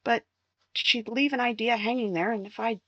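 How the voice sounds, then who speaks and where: An actress playing a distraught young wife in a 1950s-style radio crime drama, emotional, halting and pleading. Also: female voice, on the high side, bright, forceful tone, no room sound, dull audio. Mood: anguished recounting. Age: young adult.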